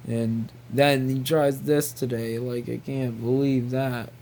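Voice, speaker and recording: A man's voice: mid-range voice, flat delivery, slow, a little mellow, a little hiss or hum. A man rambling casually to viewers, exasperated and incredulous, repeating himself and peppering his talk with mild slang swearing. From New Brunswick, Canada. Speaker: video creator.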